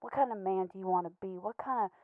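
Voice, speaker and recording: A woman's voice: mid-range voice, no echo, phone-quality sound, lightly acted. Woman as nervous rambling little pet fish leaving a comedy voicemail, stammers, apologetic, thin phone audio, no room sound. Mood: earnest pleading. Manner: hesitant, stammering, halting, apologetic. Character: anxious, rambling, earnest male pet betta fish, gently judgmental. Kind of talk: scripted comedy monologue as a voicemail.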